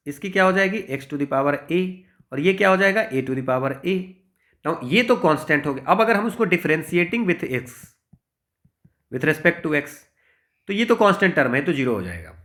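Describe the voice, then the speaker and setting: A man's voice: a little high, fairly bright tone. A man with an Indian accent teaching informally as a maths tutor, explaining problems step by step and often checking in with the listener. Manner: explanatory, with frequent check-in tags. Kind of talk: informal exam-prep lesson, working through problems step by step.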